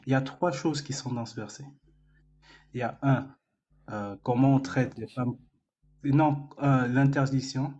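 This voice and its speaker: A man's voice: mid-range voice, fairly even intonation, measured pace, pauses often, warm tone, muffled recording. A man in a casual debate, reading text out and explaining it point by point. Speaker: debate participant.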